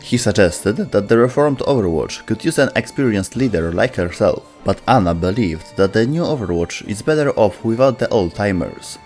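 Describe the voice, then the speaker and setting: A man with a fairly low voice delivers scripted narration for a game lore video. He speaks as a storyteller over a music bed. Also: darkish tone.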